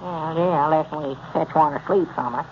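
A man playing a slow, folksy rural storekeeper with a drawling country way of talking, in a 1930s radio comedy. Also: on the high side, a little hiss or hum, lightly acted. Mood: puzzled. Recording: muffled old radio transcription.

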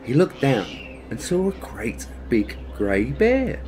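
A man narrating a children's story expressively, in a measured way with dramatic pauses. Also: medium pitch, wildly swooping pitch, a little mellow, slight background noise. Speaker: storyteller, channel host.

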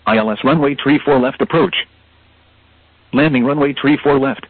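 A man with a husky, mid-pitched voice reads a formulaic airport bulletin. It comes over a thin aviation radio band with a dry sound. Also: moderate pace, dry recording. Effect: aviation radio transmission. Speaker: airport information broadcast announcer. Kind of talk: formulaic read-out aeronautical bulletin. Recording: muffled narrowband radio broadcast.

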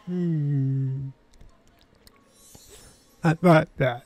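A man giving casual, halting commentary as the host of a video game playthrough. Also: a little high, fairly even intonation, a little mellow, faint music behind.

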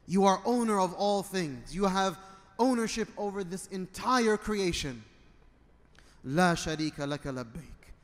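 A man in his thirties preaching a sermon as an imam, phrasing deliberately and emphatically in short bursts. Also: sits high. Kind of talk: sermon with Arabic recitation. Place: indoor prayer hall at a pulpit. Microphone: microphone on a stand. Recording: long hall reverb.

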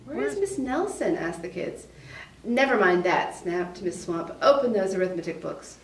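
A woman's voice: mid-range voice, big pitch swings, moderate pace. A woman reading a children's picture book aloud. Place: school office indoors. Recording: roomy, distant mic, faint noise.

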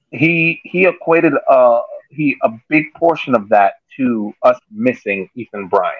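A man giving casual sports analysis on a video call, halting and repeating words as he thinks. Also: medium pitch, no echo. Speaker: sports podcast panelist. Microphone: video call microphone. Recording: dull, dry video-call audio.

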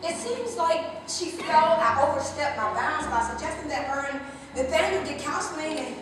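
An actress playing an earnest, pious, self-assured counselor in a stage play. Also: female voice, high-pitched, lively intonation, moderate pace, distant mic. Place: theatre stage. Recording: echoey hall from afar, loud music.

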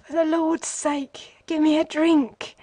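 A woman's voice: high voice, steady tone, slow, no room sound, pitched above the performer's own voice. A woman reading aloud, voicing a ragged, broken old man who whines and pleads. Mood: pleading. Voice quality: whining, cracked. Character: broken ragged old male wanderer, whimpering. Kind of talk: storybook reading.

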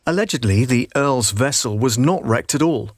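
Male voiceover, middle-aged, medium pitch, scripted promotional documentary read, very dry close booth recording. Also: expressive, moderate pace, dry recording. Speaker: voiceover artist. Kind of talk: scripted documentary-style narration, demo takes. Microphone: close studio microphone.

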